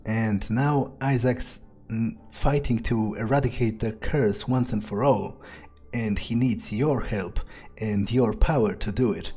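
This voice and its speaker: Slow, mellow-voiced man narrating a game. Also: lowish voice, slightly rough. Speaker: gamer role-playing as his character. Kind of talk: in-character gameplay narration, thinking aloud. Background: faint music.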